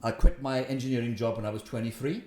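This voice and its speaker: A man in his fifties with a Welsh accent, telling his story in an interview with animated, emphatic delivery. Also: mid-range voice, fast talker, echoey room. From Wales. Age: 50s. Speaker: investment guest. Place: room in his house.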